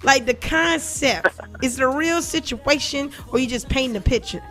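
A woman's voice: sits high, normal speed, pushed and bright, soft background music. A woman hosting a radio show as DJ, interviewing a guest in a playful, chatty way. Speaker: radio DJ host.

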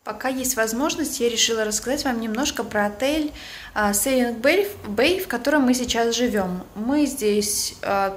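A woman's voice: fairly high pitch, a little hiss or hum. Chatty young woman filming a tour on her phone. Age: young adult. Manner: chatty, lots of fillers and self-corrections. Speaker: travel agency vlogger touring a hotel. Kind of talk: casual hotel tour vlog. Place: indoors in a hotel room and outdoors on resort grounds. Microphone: phone camera at arm's length.

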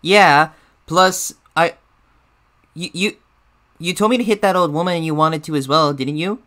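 A man's voice: a little high, normal speed, sharp tone. A man voicing an eager, deferential cartoon shadow bear sidekick in hesitant, improvised banter. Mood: curious, amused. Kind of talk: improvised character reaction banter.

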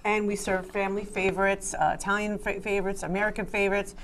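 A woman's voice: mid-range voice, flat delivery, fast, a little hiss or hum. A middle-aged woman, a guest chef chatting through a cooking demo in a TV studio kitchen on a lapel mic. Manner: chatty, explains steps as she cooks.

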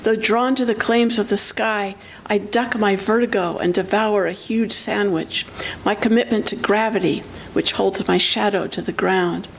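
A woman's voice: mid-range voice, fairly even intonation, normal speed, creaky. Older woman reading poetry, noisy recording. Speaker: poet, reader. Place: lecture hall, indoors. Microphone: gooseneck podium microphone. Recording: noisy live lecture-hall recording.

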